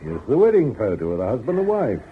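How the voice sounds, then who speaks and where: An actor playing a dogged, brusque police inspector in a radio crime drama, clipped and probing in manner. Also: male voice, medium pitch, huge pitch swings, quick pace. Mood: brisk, commanding. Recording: muffled radio drama recording, faint noise.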